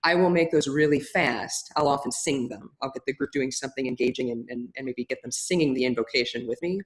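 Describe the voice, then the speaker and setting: A woman with a big, loud voice teaching in a webinar, talking through ideas in a chatty way with frequent restarts. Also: extremely low pitch, brisk, poor audio. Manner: chatty, many restarts and fillers. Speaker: ritual facilitation teacher. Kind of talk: webinar teaching, answering a question.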